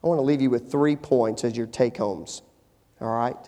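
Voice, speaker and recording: A middle-aged male pastor preaching a sermon, shifting from hushed, slow stretches to emphatic, rising appeals. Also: medium pitch. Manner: dynamic, swings from hushed to emphatic. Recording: long hall reverb.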